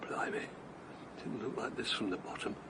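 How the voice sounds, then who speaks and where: A man's voice: normal speed, in a whisper, close to an everyday voice. An actor playing an older man out on a long walk, breathless and wondering, chuckling to himself in a radio drama. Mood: fond.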